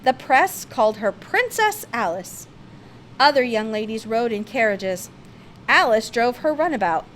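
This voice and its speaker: A woman's voice: a little high, very animated, measured pace, a bit bright, slight background noise. A woman reads a children's picture-book biography aloud with theatrical, emphatic expression. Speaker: storybook reader.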